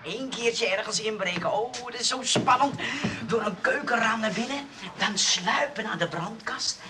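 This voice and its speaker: An actor playing a nosy, sulky, childlike reformed burglar, playful and wheedling, in a Dutch radio play. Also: male voice, high voice, normal speed, close to an everyday voice. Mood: wistful, defensive. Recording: noisy old radio recording.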